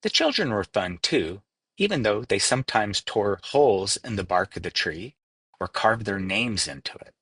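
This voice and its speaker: A man reads a story aloud with lively intonation. His voice sits a little low and has a husky grain, recorded dry and close. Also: normal speed, no echo. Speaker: storybook reader. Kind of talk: scripted storybook narration.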